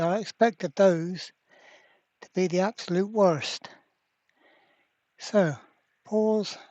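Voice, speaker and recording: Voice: mid-range voice, darkish tone, dry recording, dull audio. A person narrating a hands-on repair at a workbench in a casual way, muttering to themselves. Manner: mutters to self, mild swearing. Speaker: electronics repairer presenting a workbench video. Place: indoor workbench.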